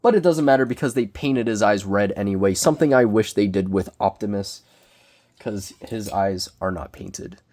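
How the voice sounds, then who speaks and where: A young man with a cold, sniffling as he reviews a collectible figure in casual, self-deprecating, excitable rambling. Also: lowish voice, normal speed. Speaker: toy reviewer. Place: small bedroom.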